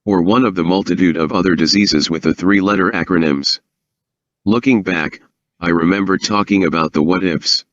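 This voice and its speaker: A very deep synthesized male voice reads a prepared first-person account. The sound is dry and a little muffled and dull. The delivery stays fluent and even, with no fillers at all. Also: moderate pace, no echo. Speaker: author and guest.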